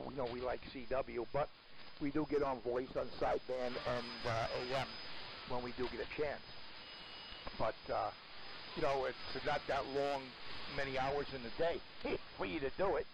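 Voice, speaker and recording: An older man chatting casually and in a rambling, folksy way as an amateur operator over AM shortwave radio. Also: medium pitch. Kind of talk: casual ham radio contact. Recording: noisy AM ham radio transmission. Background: clear static noise.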